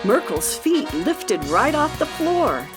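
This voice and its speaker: A woman's voice: high voice, very animated, slow pace. A woman narrating a scripted children's story. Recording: music playing behind.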